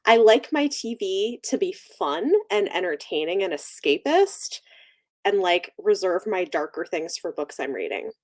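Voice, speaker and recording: A woman's voice: fairly low pitch, big pitch swings, measured pace. A woman chatting casually and informally as a livestream host, enthusiastic about what she likes. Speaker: book channel livestream host. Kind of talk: casual chat.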